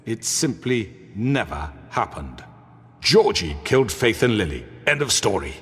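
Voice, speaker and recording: An actor playing a smooth-talking crime boss in a video game, speaking with theatrical, mock-polite oratory. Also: male voice, lowish voice, big pitch swings, measured pace, a bit bright. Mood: indignant. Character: smooth-talking male crime boss defending himself before townsfolk. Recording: echoey hall reverb.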